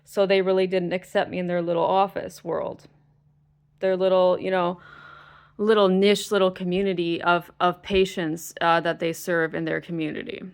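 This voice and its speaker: A woman's voice: fairly low pitch, flat delivery, normal speed, clear, resonant tone. A woman vlogging to camera, stressed and exasperated, venting with frequent swearing. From United States. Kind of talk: ranting to camera.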